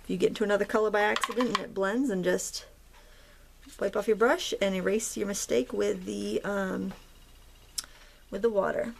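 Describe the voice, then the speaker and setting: Relaxed, gravelly-voiced woman crafter. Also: mid-range voice, normal speed, long pauses, slight background noise. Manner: relaxed, rambling, with fillers and soft laughs. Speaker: craft tutorial host. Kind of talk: casual chatty tutorial narration.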